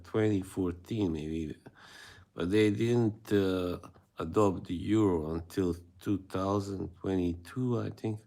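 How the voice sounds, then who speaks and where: A man's voice: fairly low pitch, mellow. A man from Bosnia and Herzegovina, hosting a casual live stream and chatting with viewers in a rambling, hesitant way with frequent chuckles. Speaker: livestream host.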